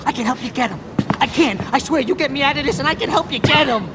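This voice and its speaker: An actor playing a whiny, panicky, foul-mouthed mobster with a frantic, pleading manner. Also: male voice, extremely high pitch, fast talker. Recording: loud noise behind the voice.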